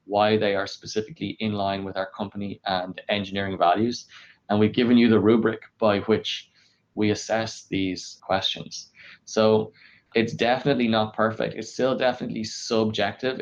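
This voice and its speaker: A man explaining his work in a conversational interview, measured and explanatory, as a podcast guest. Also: fairly low pitch, level tone. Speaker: podcast guest, engineering director. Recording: dull, lo-fi podcast audio.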